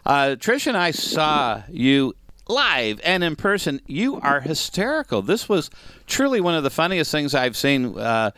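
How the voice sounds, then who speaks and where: A man's voice: a little high, big pitch swings, unhurried, bright, forceful tone, smooth, dry recording. A man hosting a live radio show, bantering jokily with his co-hosts and a guest, with frequent fillers. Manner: chatty and joking, with frequent filler words. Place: radio studio.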